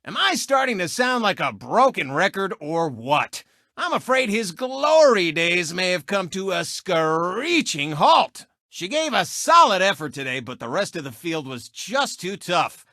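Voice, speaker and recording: Gloating cartoon race car TV host, very animated, dry close sound, brash, smug, theatrical game commentary. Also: male voice, sits high, a little slow, no echo, exaggerated voice. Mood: showy sportscasting. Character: cocky, gloating race car turned sports show host. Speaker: racer and TV racing commentator. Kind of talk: animated video game sports commentary and taunts.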